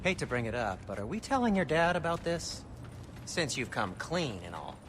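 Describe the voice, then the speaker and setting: A man voicing an anxious, guilt-ridden dwarf blacksmith in a video game, halting in his confessions and given to wry asides. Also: fairly high pitch, big pitch swings, slight background noise.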